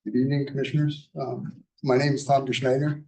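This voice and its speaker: An older man with a soft, mellow voice gives his public comment at a city meeting. He talks in a halting way, flat in tone, with many fillers. He sits back from the microphone in a roomy, echoing chamber. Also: mid-range voice. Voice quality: soft-spoken. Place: council chamber. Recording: echoey chamber, mic at a distance.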